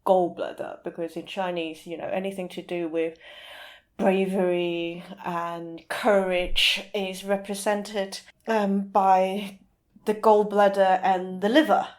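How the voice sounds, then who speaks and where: A woman with a mid-pitched voice answers questions as a guest on a podcast. She is conversational and thoughtful. Her phrases come haltingly as she looks for the right word. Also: level tone. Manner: thoughtful, halting, searching for words.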